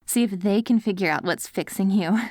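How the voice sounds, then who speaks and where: A woman playing an affectionate post-apocalyptic wife in an ASMR audio roleplay, coaxing and gently praising her ghoul husband. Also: medium pitch, brisk, no echo, natural voice. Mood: hopeful, fond.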